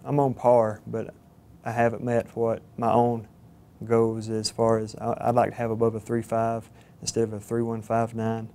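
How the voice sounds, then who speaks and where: Earnest young Georgia man, flat delivery. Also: fairly low pitch. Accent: Southern US, Georgia. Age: 20s. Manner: earnest, halting with frequent fillers. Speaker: cadet candidate. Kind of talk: interview testimonial. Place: studio with plain backdrop. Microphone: lapel mic.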